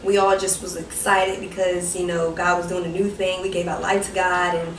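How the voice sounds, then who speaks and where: A soft-voiced young woman, a guest on a podcast, telling her life story in a rambling, self-correcting way. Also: fairly low pitch, level tone, moderate pace. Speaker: guest, ministry founder. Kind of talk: personal testimony in casual chat. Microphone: distant mic. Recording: roomy, distant mic with background noise.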